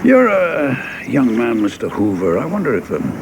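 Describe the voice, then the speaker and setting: An actor playing a skeptical senior statesman in a radio play, dry and deliberate, hesitating as he cautions. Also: mid-range voice, huge pitch swings, noisy background. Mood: gently warning.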